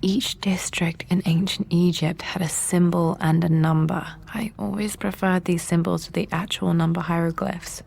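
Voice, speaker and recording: A woman playing a thoughtful, curious young adventurer in a video game, murmuring to herself in a hushed, introspective way. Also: lowish voice, steady tone, moderate pace, creaky, hardly any pauses, slightly rough. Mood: pensive, hushed. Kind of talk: video game voice acting, murmured self-talk while exploring.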